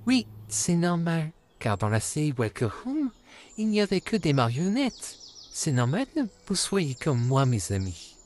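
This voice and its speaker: A man voicing a friendly, courteous male puppet in an amateur comic dub, calmly addressing his friends. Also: a little high, a little slow. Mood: welcoming, amused. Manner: calm, courteous, repeatedly addressing listeners as friends.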